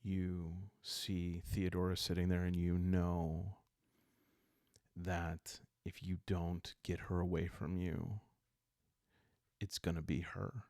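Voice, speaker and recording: A man narrating a solo roleplaying story in a calm, hushed ASMR style, halting with deliberate pauses, close to the microphone. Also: deep voice, dry recording. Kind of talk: calm ASMR narration of a dark journaling game.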